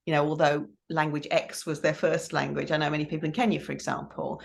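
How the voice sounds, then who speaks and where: A woman's voice: low voice, level tone, fast, vocal fry. A middle-aged woman answering interview questions in a considered, explanatory way, repeating points for emphasis. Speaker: interview guest, teacher trainer.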